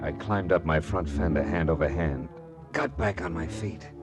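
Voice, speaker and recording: Casting: male actor as a hardboiled American detective, fairly low voice, dry wisecracks, vintage radio drama with prominent music. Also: normal speed, lightly acted. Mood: weary narration. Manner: clipped, wry, hardboiled. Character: tough wisecracking male private detective, world-weary narrator. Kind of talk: 1950s radio drama acting and hardboiled narration.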